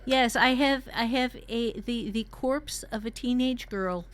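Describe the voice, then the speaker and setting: A woman's voice: on the high side, unhurried. A woman co-hosting a writing workshop in casual brainstorming chat, teasing and prompting with questions. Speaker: workshop co-host and writer.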